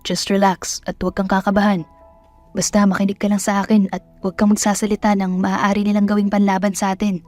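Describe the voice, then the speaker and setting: A woman's voice: mid-range voice, little pitch movement, brisk, darkish tone, faint music behind, lightly acted. A woman voicing a firm, controlled male lawyer in a dramatic audio story, cool and protective. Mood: reassuring.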